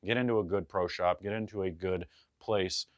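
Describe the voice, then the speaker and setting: Low-voiced man giving shop advice. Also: steady tone, normal speed, no echo, dull audio. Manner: chatty, loose, self-correcting, jokey asides. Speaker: bowling pro shop reviewer. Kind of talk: casual advice vlog to camera. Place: bowling pro shop in front of a ball wall.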